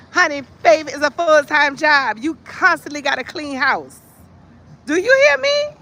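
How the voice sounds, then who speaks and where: A bright, punchy American voice hosts a casual livestream over light urban noise. The delivery is animated and sassy, leaning hard on key words and dropping in conversational asides. It sits at a middle pitch and feels like comic storytelling among friends. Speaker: livestream host telling stories.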